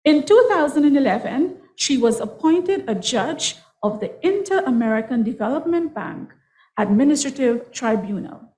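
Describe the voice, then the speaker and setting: A woman's voice: high-pitched, lively intonation, normal speed, mellow, low-quality recording. A woman reading out a formal citation in a ceremonial, carefully enunciated manner. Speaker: university lecturer reading a citation.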